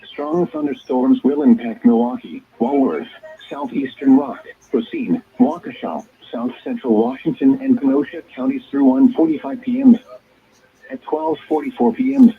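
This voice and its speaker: A man's voice: mid-range voice, very animated, moderate pace, creaky. A man's synthetic, stilted voice reading scripted weather alerts formally as a radio announcer, in choppy phrases. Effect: weather radio broadcast. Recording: tinny, narrow-band weather radio signal.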